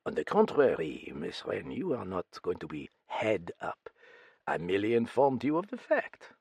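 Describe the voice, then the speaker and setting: A man voicing a calm, wise and tired older investigator who speaks in a measured, formal way. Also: fairly low pitch, vocal fry, breathy edge, no room sound, exaggerated voice.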